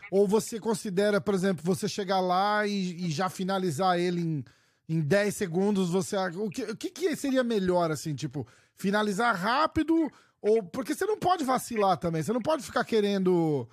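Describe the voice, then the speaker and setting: A Brazilian host with a notably high voice chats loosely with his guest, full of slang and restarts. The sound is close and dry, with no room around it. It comes across as a relaxed studio conversation. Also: no room sound. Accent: Brazilian Portuguese. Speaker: podcast host. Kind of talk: casual interview chat.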